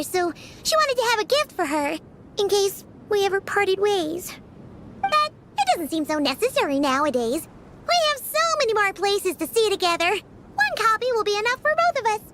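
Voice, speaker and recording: Squeaky, very high childlike fairy sidekick. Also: female voice, fairly bright tone, a little hiss or hum, exaggerated voice. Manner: eager, refers to self in third person. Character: tiny childlike female fairy companion, chirpy, proud, talks in third person. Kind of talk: video game character dialogue.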